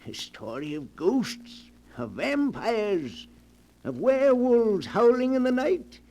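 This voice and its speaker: An actor playing a sinister, gleeful keeper of a book of dark tales, theatrically, in 1940s radio horror style. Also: male voice, high-pitched, gravelly, slight background noise, exaggerated voice, low-quality recording. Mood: gleefully sinister.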